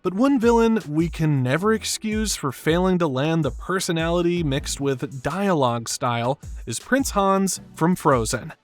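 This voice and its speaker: A man's voice: fairly high pitch, animated, a little slow, few pauses, soft background music. A man reading scripted video-essay narration in an emphatic, opinionated way.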